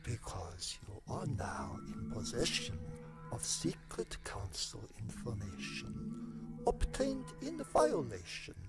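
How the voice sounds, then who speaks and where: A vain alien imperial chancellor with a grandiose, short-tempered manner. His delivery is unhurried and theatrical, swinging widely in pitch. Loud music sits behind this campy science-fiction radio comedy. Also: wildly swooping pitch, vocal fry, exaggerated voice. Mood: pompous menace. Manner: pompous, grandiose, theatrical, explosive. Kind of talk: campy sci-fi comedy audio drama.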